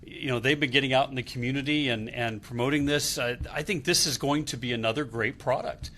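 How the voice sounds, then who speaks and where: A man's voice: medium pitch, fairly even intonation, a little fast, a bit bright, a little hiss or hum. A man with an American accent offers casual analysis on a sports panel. His voice sits in the middle range as he talks through teams and schedules.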